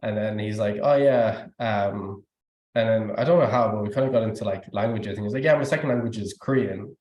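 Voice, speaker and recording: A man's voice: fairly low pitch, fairly quick, lo-fi sound. A young man with an Irish accent giving a rambling, informal testimony at a youth service, full of fillers and restarts. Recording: echoey room.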